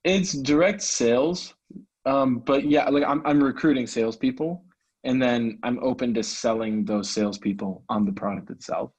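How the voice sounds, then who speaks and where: A man's voice: mid-range voice, normal speed, a little mellow, slightly rough. A man speaking casually and candidly, a little self-effacing, as a salesman on a recorded call. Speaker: salesman, prospective coaching client. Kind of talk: casual, candid phone conversation.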